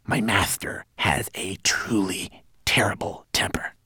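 Man voicing a high, hurried, anxious little servant. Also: huge pitch swings, a bit bright, no room sound, studio quality, heavily acted. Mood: hissing, scornful. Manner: hurried, hissing, fawning to his master. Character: small male servant, anxious, servile, sharp with underlings.

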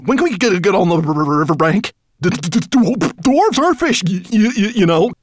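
A man's voice: high voice, sing-song, moderate pace, no echo, pitched above the performer's own voice. A man voicing a shivering, cross but servile dwarf in a storybook, stammering and sputtering his words. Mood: spluttering indignant.

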